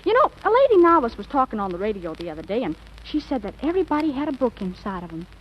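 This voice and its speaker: A dreamy, stubborn teenage girl in 1940s radio comedy. Her voice is highish and swings up and down with melodrama and pouting slang, on a dull old broadcast recording. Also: big pitch swings, quick pace, a little hiss or hum. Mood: dreamy, melodramatic. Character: fifteen-year-old girl, dramatic, stubborn, dreamy would-be writer.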